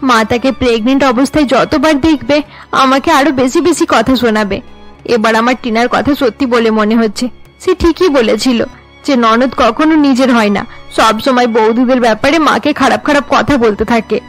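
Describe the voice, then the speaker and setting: Young wife, acted by a woman, cartoon family drama, high-pitched, sorrowful and anxious. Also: normal speed, soft background music, lightly acted. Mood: worried.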